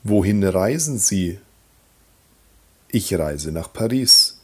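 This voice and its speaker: A man teaching German grammar in a slow scripted voice-over, reading example sentences and repeating them. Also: low voice, lively intonation, darkish tone, a little hiss or hum.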